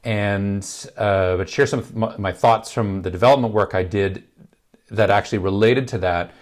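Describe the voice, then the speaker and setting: A man in middle age talks casually to camera from a large office space. His voice sits a little low and still carries some leftover gravel from being ill. He rambles, circling back with fillers and restarts as he thinks aloud. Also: fairly even intonation, moderate pace. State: slightly gravelly from illness. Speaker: filmmaking channel host.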